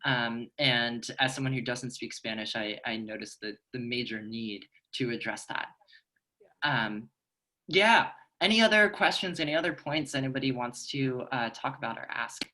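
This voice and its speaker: A man with a bright, forceful voice at an ordinary pitch moderates a panel. He talks off the cuff, articulate and earnest, often pausing to find the next phrase. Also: expressive, poor audio. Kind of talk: panel discussion, speaking off the cuff.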